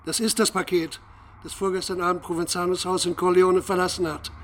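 An actor playing a controlled, authoritative police squad commander giving terse orders in a radio crime drama. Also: male voice, high voice, flat delivery, a little fast, a little hiss or hum, lightly acted. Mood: focused, commanding.

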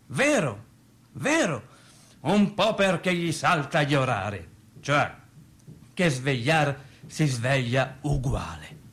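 A man acting a theatrical radio monologue as an agitated, rambling working man who recalls his childhood in halting, repetitive colloquial speech. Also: high voice, heavily acted. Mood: wry, grumbling. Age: adult over thirty. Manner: halting, repetitive, colloquial storytelling with stammered restarts.

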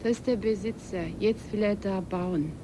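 A woman's voice: mid-range voice, fairly even intonation, moderate pace, noisy background, close to an everyday voice. An actress in a radio play playing a worried, reserved woman who is a native Turkish speaker and talks hesitantly. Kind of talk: German radio play acting.

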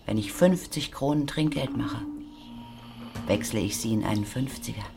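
Careworn mother, low voice, anxious, tender, emotional, radio drama, music behind. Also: moderate pace, vocal fry. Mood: wistful, dreamy. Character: careworn, loving working-class mother of a teenage boy. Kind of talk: German radio play acting.

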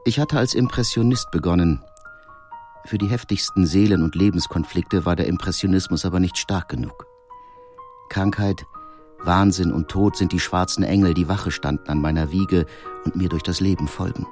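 A man voicing a brooding painter who gravely recalls his troubled life in an audio biography, in measured words. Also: low voice, a little mellow, soft background music, natural voice. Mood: grave, reflective. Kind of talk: dramatized first-person reading in an audio biography.

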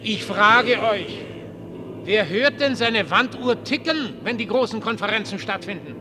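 An actor in a German radio play as a ragged homeless dreamer, mocking, prophetic and pitying, with theatrical, declamatory delivery. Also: male voice, high-pitched, expressive, measured pace, a crowd in the background. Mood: fervent, summoning. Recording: long reverberant hall.

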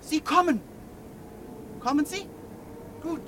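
A woman's voice: sits high, slow, a bit bright. A woman playing a commanding figure in a German radio play, giving curt, clipped orders. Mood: curt, urgent. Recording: noisy, distant radio drama scene.

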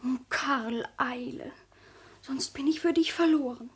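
An actress playing a lovesick, impatient young granddaughter in a theatrical radio comedy, with sighing, exclamatory delivery. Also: female voice, high-pitched, a little slow, breathy edge, exaggerated voice. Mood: yearning, pouting. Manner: exclamatory, sighing, speaks old-fashioned stage German.

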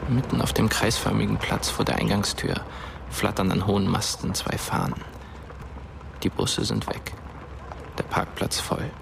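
An actor playing a dead teenage boy who narrates as a ghost in a German radio drama, measured, darkly knowing and often hushed. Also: low voice, gravelly, noisy background, exaggerated voice.

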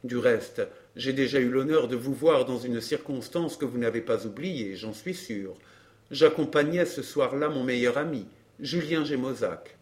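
A man playing a courteous, ironic gentleman in a dramatised audiobook, speaking politely and with phlegmatic calm. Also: medium pitch, moderate pace, close to an everyday voice. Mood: calm irony. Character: Baron de Fresnay, unflappable, courteous, ironic gentleman.

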